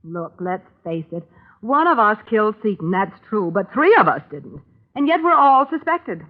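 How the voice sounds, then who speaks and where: A woman playing a cool-headed, blunt young murder suspect in a 1940s radio thriller, brisk and direct, with an American accent. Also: medium pitch, sing-song. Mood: frank. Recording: muffled, band-limited 1940s radio recording.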